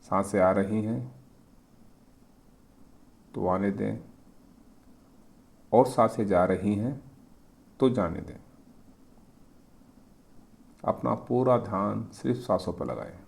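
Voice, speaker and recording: Slow, low-voiced man guiding meditation. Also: gravelly. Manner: calm, gentle, instructing. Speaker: guided meditation teacher. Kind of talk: slow guided meditation in short, pausing phrases.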